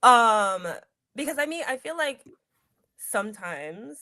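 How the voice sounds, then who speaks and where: A woman's voice: on the high side, expressive, slow pace, bright, forceful tone, no echo. A woman who wrestles, chatting as an interview guest in an upbeat, giggly way with plenty of "like". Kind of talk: casual interview chat.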